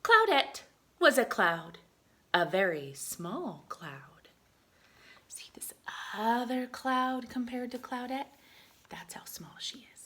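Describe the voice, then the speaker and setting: Female storybook reader, teacherly, very animated and bright, with hushed asides to young listeners. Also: a little high, slow pace. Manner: expressive, often drops into hushed asides. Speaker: teacher reading aloud to children. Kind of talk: picture-book read-aloud with asides.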